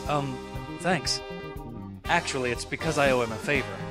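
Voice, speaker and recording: A man's voice: mid-range voice, moderate pace, loud music behind the voice, lightly acted. A man acting a nervous, earnest young rookie defense lawyer in a game fandub, a little hesitant. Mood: awkward, earnest.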